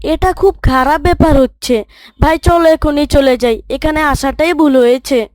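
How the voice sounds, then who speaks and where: Woman voicing a high, earnest good little boy. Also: normal speed, dry recording, studio quality, lightly acted. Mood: dismayed, scolding. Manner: earnest, polite, gently scolding. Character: pious, well-behaved young boy, worried and disapproving. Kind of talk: children's moral cartoon dialogue.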